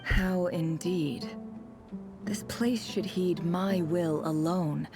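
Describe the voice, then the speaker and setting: An actress playing a sly, commanding woman boss in a video game, with a deliberate, sardonic delivery. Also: lowish voice, over music. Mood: cool, measured. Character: sly, commanding woman boss, coolly teasing and self-assured.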